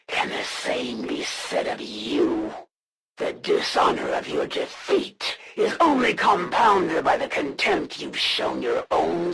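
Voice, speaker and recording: An actress voicing a proud, cold alien assassin in a video game, speaking in a formal, theatrical, deliberate way. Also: female voice, fairly low pitch, vocal fry, heavily acted. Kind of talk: video game villain voice lines.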